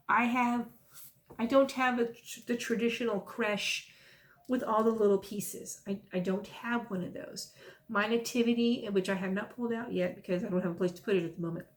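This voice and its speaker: A woman, chatting casually and rambling into folksy, self-amused asides in her stitching vlog. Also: fairly high pitch. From South Texas. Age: 50s. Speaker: stitching vlogger.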